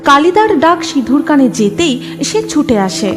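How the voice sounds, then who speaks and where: A woman's voice: high-pitched, very animated, normal speed. A woman narrating a scripted horror story dramatically, as the narrator of a cartoon. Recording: music laid under the narration.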